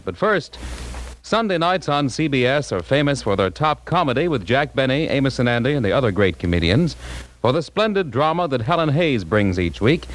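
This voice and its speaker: Lively old-time radio announcer man. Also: medium pitch. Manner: smooth promotional delivery.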